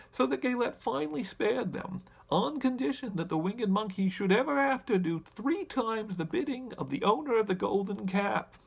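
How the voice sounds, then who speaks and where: Man playing an animated, high-pitched winged monkey king. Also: creaky, slightly rough, exaggerated voice. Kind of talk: storybook character narration.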